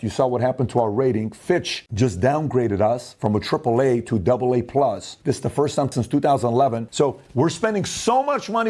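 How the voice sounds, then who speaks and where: A middle-aged man delivers an argumentative monologue, pressing his points with emphasis. His voice is warm and mellow, and he slips into mocking quotes of the other side. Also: on the high side. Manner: emphatic, argumentative, acts out opponents in quick quoted asides. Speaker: host. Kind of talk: opinion monologue to camera.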